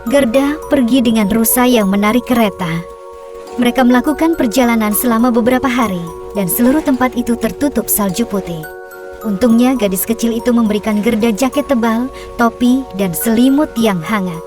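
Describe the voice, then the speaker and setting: A woman tells a children's fairy tale in a fairly high voice. Her scripted narration is measured and expressive, with music clearly playing behind it. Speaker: storyteller, narrator.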